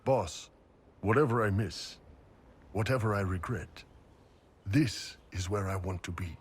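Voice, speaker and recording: Mercenary brute for a fantasy game, male actor: deep-set, gruff, blunt, loyal, over faint noise. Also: unhurried, close to an everyday voice. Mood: sincere. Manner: blunt, wry, swearing soldier. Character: big gruff horned mercenary warrior, wry and loyal. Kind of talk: video game cutscene dialogue.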